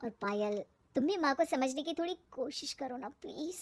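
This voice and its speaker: A woman's voice: sits high, animated, moderate pace. A woman voicing a young husband who pleads and reasons as peacemaker in a family story. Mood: soothing. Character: placating young husband caught between wife and mother.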